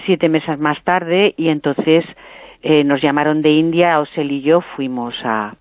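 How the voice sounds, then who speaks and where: An older woman calling in by phone, slowly recounting her memories with some hesitations. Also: deep voice, dry recording. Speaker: guest's mother, phone caller. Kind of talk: phone-in interview storytelling. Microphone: telephone. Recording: tinny dry phone line.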